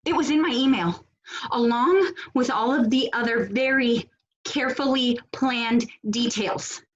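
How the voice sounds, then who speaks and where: A woman's voice: a little high, unhurried, dull audio, natural voice. A woman playing a bossy, exasperated protest organizer in a video-call comedy, with pointed, sarcastic emphasis. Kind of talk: comedic stage acting over video call. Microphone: video call microphone.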